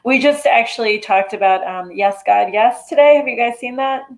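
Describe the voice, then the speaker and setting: A woman's voice: a little high, normal speed. A woman writer chatting casually as an interview guest, informal and peppering her talk with "like".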